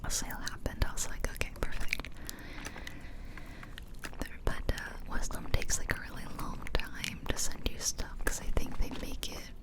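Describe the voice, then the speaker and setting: A woman speaks entirely in a soft, breath-only whisper, very close to the microphone as in ear-to-ear ASMR. Her delivery is casual and conversational, rambling through everyday personal storytelling. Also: normal speed, low-quality recording. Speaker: ASMR video creator. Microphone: close-up ear-to-ear stereo microphone.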